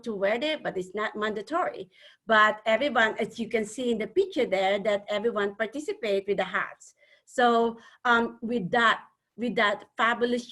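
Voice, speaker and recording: A woman from the Philippines with a Filipino accent, speaking earnestly as a webinar panel speaker and often pausing on fillers. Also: mid-range voice, fairly bright tone. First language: a Philippine language. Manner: earnest, hesitant with many fillers. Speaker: panel speaker, networking organizer.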